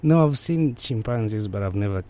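A man's voice: on the low side, animated, normal speed, mellow. A man from Eastern Congo with a Central African accent, recounting personal memories as an interview guest.

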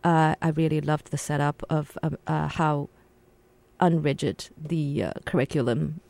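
A woman from Shanghai, China, speaking haltingly and reflectively as a guest on a radio interview, recorded in a radio studio. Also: deep voice, steady tone, gravelly, darkish tone, dry recording. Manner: halting, reflective, many pauses and restarts.

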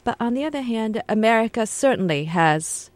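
A woman from Shanghai, China, speaking haltingly and reflectively as a guest on a radio interview, recorded in a radio studio. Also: on the high side, animated, dry recording. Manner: halting, reflective, many pauses and restarts.

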